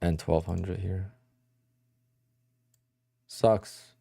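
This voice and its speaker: A man from New Jersey talks through his livestream in a loose, rambling way. His voice sits very low with a dark, mellow tone, and the recording is close and dry with no room sound. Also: steady tone, very slow, creaky, slightly rough, dry recording. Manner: rambling, conversational, answers chat questions. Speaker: day trading livestream host. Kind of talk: casual livestream chat.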